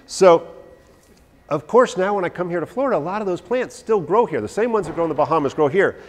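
Animated man lecturing in a reverberant hall. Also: high-pitched, fast. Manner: animated, anecdotal, self-deprecating, gesturing while talking. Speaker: herbalist lecturer. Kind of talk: informal talk to a live audience. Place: large hall with a stage, indoors. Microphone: no visible mic, far camera.

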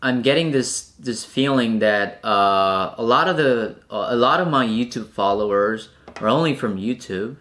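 A young Korean man with a Korean accent, answering viewer questions casually to camera in a small room, pausing to think with fillers. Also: mid-range voice. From South Korea. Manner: relaxed, thinking aloud with fillers. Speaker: climbing vlogger.

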